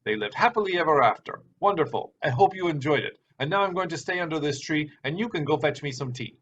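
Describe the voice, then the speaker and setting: A man's voice: on the high side, animated, fast talker, a bit bright, pitched above the performer's own voice. A man voicing a grumpy, sarcastic uncle who tells stories in an exasperated, declamatory way. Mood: sarcastic tirade. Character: grumpy, theatrical storytelling uncle with sarcastic temper. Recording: dull sound with background hiss.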